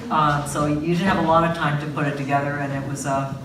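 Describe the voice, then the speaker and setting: A low-pitched woman moderates a panel at a film festival. She talks haltingly, with lots of fillers and restarts, and her voice is loud. The sound is heavily echoey, picked up from a distance with audible room noise. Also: steady tone. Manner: says she has a loud voice. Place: festival screening venue.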